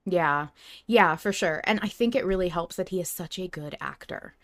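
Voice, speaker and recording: A woman's voice: on the low side, normal speed, dry recording, studio quality. A woman co-hosting a podcast in a casual fan discussion, talking in an effusive, emphatic way. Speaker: podcast co-host.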